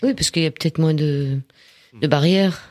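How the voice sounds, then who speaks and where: A woman interviewing a guest in casual conversation, asking questions in a relaxed way with fillers. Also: sits low, moderate pace, dry recording.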